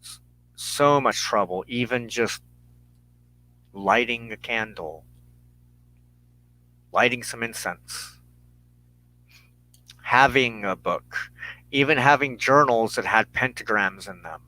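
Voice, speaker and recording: An American man giving an earnest personal monologue as a broadcast host, speaking in deliberate, halting phrases with emphatic pauses. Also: medium pitch, bright, forceful tone, rough recording. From United States. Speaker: host of a weekly religious broadcast.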